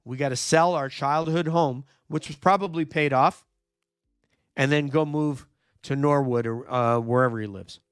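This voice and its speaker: Raspy-voiced guy doing a true crime stream, keeps saying no question, otherwise smooth voice, real dry sound. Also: mid-range voice, normal speed, dry recording. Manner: emphatic, repeats "no question", thinks aloud with fillers. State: hoarse, nearly losing voice. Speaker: true crime livestream host. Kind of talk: live commentary reading viewer chat.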